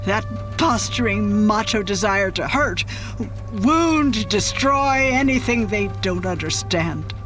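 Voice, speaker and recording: A woman playing a remorseful, fearful former nun in a radio drama, speaking solemnly and haltingly. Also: mid-range voice, expressive, a bit bright, soft background music. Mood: anguished confession. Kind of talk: cinematic radio drama acting.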